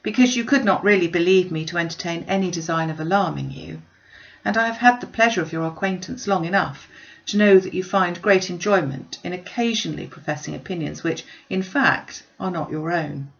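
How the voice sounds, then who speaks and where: A woman reading a storybook as a proud, reserved young gentleman, courteous, measured and formal. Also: lowish voice, vocal fry, dull audio. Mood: composed.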